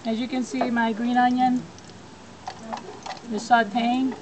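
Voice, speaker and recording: Higher-voiced woman giving cooking steps outdoors. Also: flat delivery, slow. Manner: plain step-by-step instructions. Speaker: cooking instructor. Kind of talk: cooking demonstration. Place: backyard outdoors. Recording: outdoor background noise.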